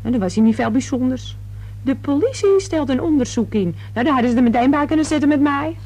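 A woman's voice: sits high, expressive, quick pace, mellow. An actress playing a gabby, indignant young working-class cleaning girl in a radio play, talking colloquially. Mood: chatty, agitated.

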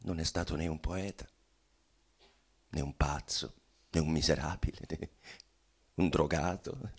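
An actor playing a dead bourgeois man bitterly confessing in a theatrical monologue, with halting, weighty phrasing and sudden swells. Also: a bass voice, fairly even intonation, slightly rough, heavily acted. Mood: cold, measured. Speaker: stage actor giving a dramatic reading. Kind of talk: theatrical monologue reading of a literary play.

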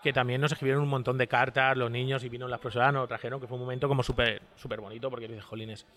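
Fast-talking Valencian man, casual. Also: mid-range voice, little pitch movement, fairly bright tone. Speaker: interview guest. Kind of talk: casual conversation.

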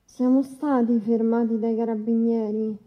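A woman's voice: a little high, level tone, moderate pace, muffled recording, lightly acted. A woman playing a guarded young victim who testifies in short, plain answers in a courtroom radio drama. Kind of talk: courtroom docudrama radio acting.

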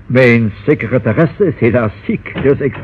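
A man's voice: medium pitch, animated, measured pace, a little hiss or hum, lightly acted. A man acting a fussy, nervous, forgetful solicitor in a radio play, with hesitant, exclamatory speech. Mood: indignant. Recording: muffled, band-limited old radio recording.

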